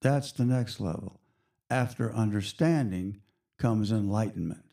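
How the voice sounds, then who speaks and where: A hoarse man hosting a spiritual radio show, talking in a slow, reflective, chatty way to his listeners. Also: lowish voice, warm tone. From United States.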